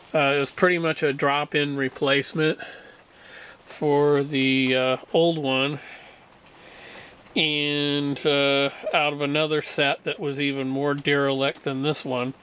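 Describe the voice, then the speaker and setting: Male hobbyist, unhurried and matter-of-fact, bright-edged voice, informal demo narration over some background noise. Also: on the high side, clear, resonant tone. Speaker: hobbyist radio restorer. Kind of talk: informal hands-on demonstration narration.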